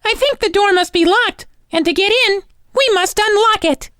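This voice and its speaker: A man voicing a sweet, eager female rag doll in a squeaky falsetto with a childlike, earnest manner, for a storybook. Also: normal speed, pushed and bright, dry recording, studio quality.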